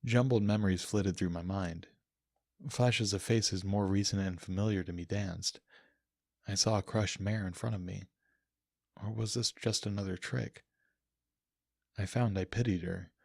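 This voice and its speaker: Gravelly male audiobook narrator. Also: low voice, steady tone, a little slow, pauses often, a little mellow, dry recording, studio quality. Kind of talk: scripted first-person fiction narration.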